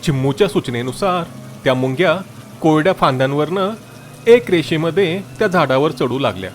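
A man narrating a scripted children's story in an expressive, dramatic way. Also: on the high side, moderate pace, slight background noise.

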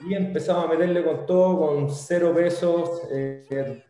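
A man's voice: a little high, fairly even intonation, measured pace, dark tone. A young man from Venezuela with a Chilean Spanish accent, chatting casually as an interview guest over a video call, full of restarts and slang. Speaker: guest, startup founder. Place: home room on a video call. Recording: very echoey room, faint music.

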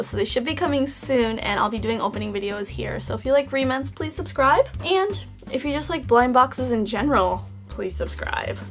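A fairly high-voiced woman talks sweetly and a bit sleepily as she opens blind boxes at a table indoors. Her voice is slightly hoarse, and soft music plays faintly behind her. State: hoarse from just waking up. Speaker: toy unboxing channel host. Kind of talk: casual unboxing chat. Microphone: off-camera, hands-only tabletop shot.

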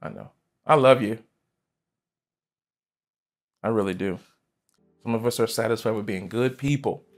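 A man in his thirties, a pastor preaching a sermon from a church stage, fervent and emphatic, jumping from loud bursts to quiet asides. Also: fairly low pitch, a little slow, pauses often. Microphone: headset mic.

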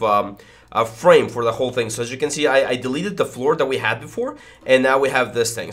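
A man in his thirties, a little congested, teaching 3D modelling in a casual tutorial, rambling with lots of fillers and stammers, recorded on a headset mic in a small home studio. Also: mid-range voice. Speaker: 3D modelling instructor. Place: small home studio room with foam panels. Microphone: headset with boom mic.

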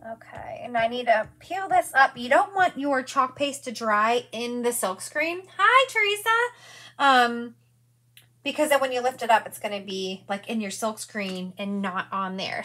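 A woman's voice: fairly high pitch, pushed and bright. A woman in her forties hosting a live craft demonstration from her studio, chatty and gushing, greeting viewers by name as she works.

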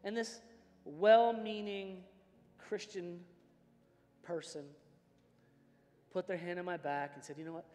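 A man's voice: sits high, fairly even intonation, takes it slowly, pauses often. A middle-aged American man preaching a personal, emphatic sermon with dramatic dynamics, as a pastor on a large church stage. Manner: emphatic, dramatic swings from hushed to shouting. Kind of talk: impassioned sermon with personal storytelling. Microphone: worn microphone, hands free. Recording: reverberant large hall sound system.

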